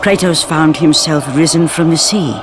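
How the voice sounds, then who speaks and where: A woman playing a grave narrator of an ancient myth, telling it in solemn, measured phrases for a video game. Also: deep voice, background noise, lightly acted. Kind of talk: dramatic epic scripted narration. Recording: music underneath.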